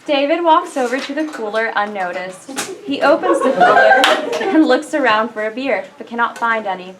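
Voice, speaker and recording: A woman's voice: fairly high pitch, animated, normal speed. A woman reading stage directions aloud as scripted narration at a play reading. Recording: very noisy room recording.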